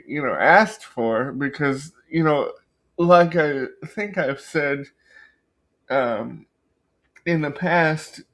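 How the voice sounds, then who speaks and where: Male vlog host, mid-pitched, unhurried delivery, rambling and unscripted, frequently stops to reword. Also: a bit bright. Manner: halting, searching for words, repeating and rephrasing himself. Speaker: book review channel host. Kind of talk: casual unscripted storytelling to viewers.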